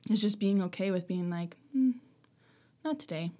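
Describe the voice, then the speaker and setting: A woman's voice: mid-range voice, moderate pace, mellow. A woman from Nova Scotia with a Canadian accent, chatting casually as an interview guest. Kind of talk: casual chat.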